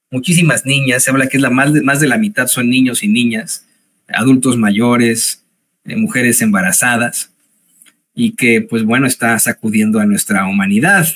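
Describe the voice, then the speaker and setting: A man's voice: mid-range voice, fairly bright tone. Casting: man in his 40s, news commentator, hesitant delivery full of fillers, home office webcam. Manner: hesitant, many fillers and restarts.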